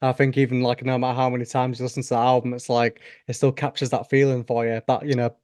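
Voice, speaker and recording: A man's voice: medium pitch, level tone, quick pace, a little mellow, no room sound, clean studio sound. A man hosting a podcast, chatting enthusiastically and casually with lots of "like" and "you know". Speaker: podcast host. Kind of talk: casual chat.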